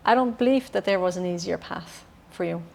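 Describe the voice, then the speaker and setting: A woman's voice: on the low side, brisk, vocal fry, darkish tone. A woman hosting a podcast, chatting casually and backing her guest with affirming remarks. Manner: supportive, affirming interjections. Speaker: podcast host. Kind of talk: casual interview chat.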